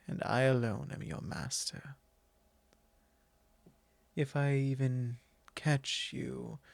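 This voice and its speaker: A man playing a possessive, genteel vampire lord in an ASMR roleplay, speaking formally and haughtily to the listener with frequent pauses. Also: medium pitch, creaky, darkish tone, no room sound. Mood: possessive, stern. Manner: measured, formal, haughty, pausing often. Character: possessive, genteel male vampire lord, commanding and self-assured.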